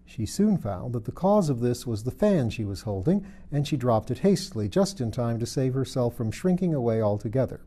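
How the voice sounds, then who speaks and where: A man's voice: mid-range voice, sing-song, fairly quick, hardly any pauses, warm tone. A man reading a children's storybook aloud as scripted narration. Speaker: audiobook reader.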